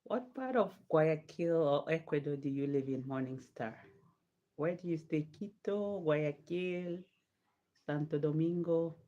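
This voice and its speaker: A woman's voice: deep voice, dark tone. A woman from Nigeria chatting casually with viewers during a live hair-styling stream, answering their comments. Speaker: livestreaming hair vlogger. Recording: dull lo-fi sound.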